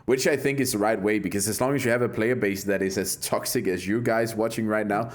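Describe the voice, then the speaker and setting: A man's voice: on the low side, steady tone, fairly quick. A man from Denmark with a Danish accent, hosting a live game stream in a brash, profane, joking way. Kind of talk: casual live-stream chat.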